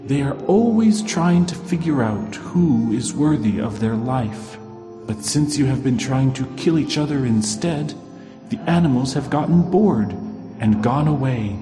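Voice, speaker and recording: Old village shaman, played by a man storytelling: solemn, stern, commanding, raised pitch, reverberant hall sound. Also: lively intonation, unhurried, lightly acted. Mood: solemn, instructive. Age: older. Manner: solemn, commanding, rhetorical questions. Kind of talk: oral storytelling of a traditional tale. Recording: long hall reverb, clear music underneath.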